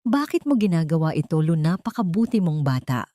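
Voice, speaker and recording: A woman's voice: deep voice, big pitch swings, fairly quick, warm tone, no echo, studio quality. A woman voicing a frail, kindly old janitress in a storybook drama, weak and plaintive. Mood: tender, sorrowful.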